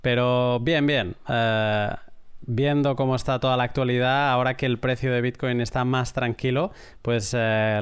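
A man hosting a podcast, introducing the episode and then interviewing a guest in an unhurried, measured way with some hesitant restarts. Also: mid-range voice, clear, resonant tone, no echo, clean studio sound. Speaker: podcast host.

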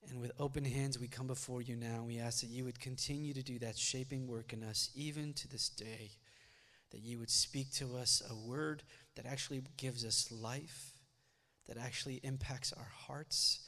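A man's voice: medium pitch, fairly even intonation, moderate pace, bright, forceful tone. A young man leads his congregation through a sermon, talking in an earnest, conversational way with rising energy. His voice sits in a middle register. His first language is Malayalam, and the recording carries the long, ringing reverb of a church hall. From United States. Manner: earnest, conversational, builds up energy while preaching. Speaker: pastor. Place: church sanctuary.

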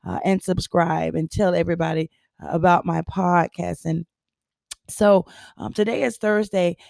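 A hoarse older woman hosting a casual motivational podcast, her voice husky and raspy, chatty with lots of ums and easy laughs. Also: on the low side, fairly even intonation, normal speed, a little mellow, dry recording, clean studio sound. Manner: chatty, laughs often, many ums and uhs. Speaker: podcast host. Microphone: podcast microphone.

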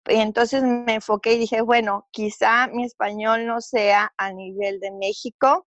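A woman's voice: a little high, little pitch movement, unhurried, a bit bright, no echo, lo-fi sound. Woman, middle-aged, Mexican Spanish accent, earnest, reflective, fillers and restarts, dry recording. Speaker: interview guest. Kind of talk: conversational interview storytelling.

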